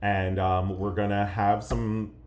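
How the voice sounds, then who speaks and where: Casual male podcast co-host from Pennsylvania, low voice, relaxed and joking, roomy sound. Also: slow pace. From Pennsylvania, USA. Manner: laid-back, joking, many fillers. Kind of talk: casual banter podcast chat. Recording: roomy echo.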